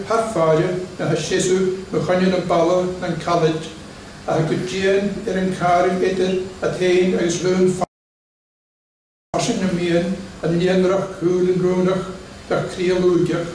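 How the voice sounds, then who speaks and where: A man reading his poetry to an audience, in a measured, declamatory way with brief spoken asides. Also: high-pitched, level tone, darkish tone, slight background noise. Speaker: poet. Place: large church hall. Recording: echoey church hall from far off.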